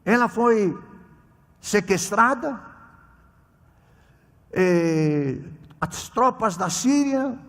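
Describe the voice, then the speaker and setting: A man preaching a sermon in Portuguese as a non-native speaker, emphatic, swinging between loud exclamations and quiet asides, often restarting words. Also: high voice, animated. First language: not Portuguese. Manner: halting, repeats and corrects words mid-phrase. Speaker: preacher at a children's ministry conference. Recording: echoey hall reverb.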